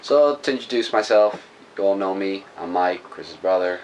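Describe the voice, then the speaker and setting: A man's voice: low voice, expressive, moderate pace, rough recording. A man introducing comic reviews in an easygoing, hesitant way, recorded on a home camera in a bedroom. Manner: easygoing, hesitant, lots of fillers and agreement. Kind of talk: casual comic book review chat. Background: faint background noise.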